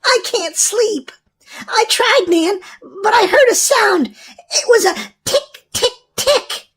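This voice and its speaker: A woman's voice: very high voice, expressive, takes it slowly, fairly bright tone, pitched above the performer's own voice, exaggerated voice. A woman voicing a frightened young child who can't sleep, pleading and yelping anxiously in a children's storybook reading. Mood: pleading, upset. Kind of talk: children's storybook read-aloud.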